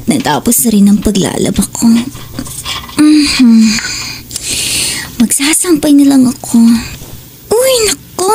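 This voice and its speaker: A woman's voice: high voice, darkish tone, a little hiss or hum. A woman with a Filipino accent playing a caring, wary housewife and mother in an audio drama. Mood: weary.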